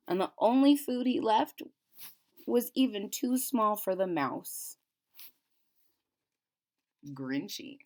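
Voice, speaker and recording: Woman doing storytime, kinda high voice, really unhurried, expressive, chatty little explanations. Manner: expressive, explaining as to young children. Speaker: storybook reader. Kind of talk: read-aloud storytelling with simple casual asides.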